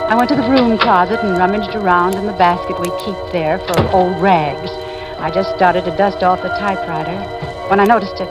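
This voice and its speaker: A woman's voice: medium pitch, close to an everyday voice, low-quality recording. An actress playing an anxious, hesitant middle-aged mother turned amateur sleuth in a 1940s radio drama, both narrating and speaking in scenes. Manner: halting, hesitant, uneasy. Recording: vintage radio recording with music.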